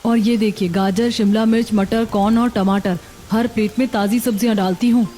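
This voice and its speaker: A woman's voice: a little high, steady tone, normal speed, dark tone, natural voice. A woman playing a patient, honest young daughter-in-law who runs a food stall in a cartoon. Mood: earnest, reassuring. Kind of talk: cartoon dialogue acting. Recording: background music under voice.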